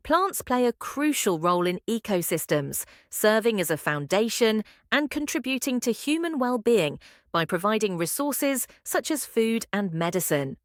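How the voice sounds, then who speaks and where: A woman reading scripted science passages aloud as a narrator, in a measured, articulate way. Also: mid-range voice, big pitch swings, no echo, studio quality.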